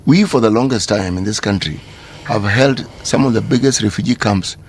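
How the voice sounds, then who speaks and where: A man with a Kenyan accent hosting a radio discussion, making his points in a measured, emphatic way and switching into Swahili now and then. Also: on the low side, slight background noise. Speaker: radio host. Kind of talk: casual on-air discussion. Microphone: studio microphone.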